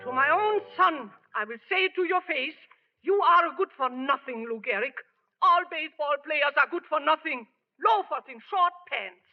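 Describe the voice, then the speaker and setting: High-pitched, scolding immigrant mother. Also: lively intonation, moderate pace. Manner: scolding, emphatic, pleading. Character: stern immigrant mother, hard-working cook, ambitious for her son. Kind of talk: 1940s radio drama acting. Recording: dull old radio broadcast recording.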